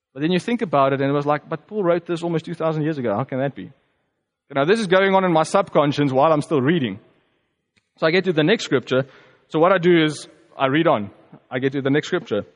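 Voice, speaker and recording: South African man preaching in a reverberant hall. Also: fairly high pitch. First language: Afrikaans. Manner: reflective, conversational, halting with fillers. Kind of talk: personal testimony sermon.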